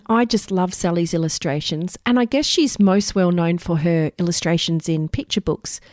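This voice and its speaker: Low-pitched woman hosting, dry close sound. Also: darkish tone, no room sound. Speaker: podcast host and interviewer; school librarian. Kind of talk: podcast interview and linking commentary.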